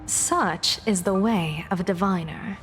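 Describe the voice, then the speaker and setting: A woman playing a composed female general and diviner in a video game, polite and measured with dry sarcasm. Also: on the low side, a bit bright, faint music behind. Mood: politely teasing.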